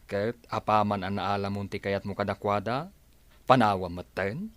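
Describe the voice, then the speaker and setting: Male radio drama friend role, played by a man: chiding, advising, on the low side, very dry sound. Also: normal speed, dry recording, natural voice.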